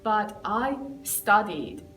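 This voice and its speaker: Iranian woman designer, earnest and halting, mic set back in a roomy space with faint music behind. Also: mid-range voice, very animated, a bit bright, far from the mic. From Iran. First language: Persian. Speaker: rug designer. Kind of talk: conversational interview.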